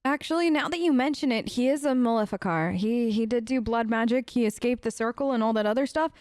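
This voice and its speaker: A woman's voice: fairly high pitch, fairly quick, darkish tone, no room sound, studio quality. A woman hosting a let's play, improvising role-play dialogue and commentary in an informal, conversational way.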